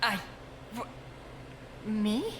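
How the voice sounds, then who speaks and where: A woman's voice: medium pitch, big pitch swings, very slow, a bit bright, roomy sound, a little hiss or hum, exaggerated voice. A woman voicing a timid, hesitant young man who mumbles, in a fan audio drama. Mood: shy. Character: shy, meek young man.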